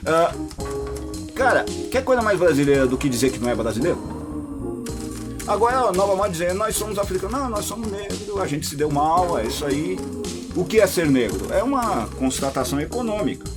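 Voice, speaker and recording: A Brazilian man hosting a podcast, delivering an opinionated monologue straight to his listeners and jumping into high mocking voices when he quotes people. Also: big pitch swings, a little fast, music in the background. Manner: addresses listeners directly as "my dear". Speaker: podcast host.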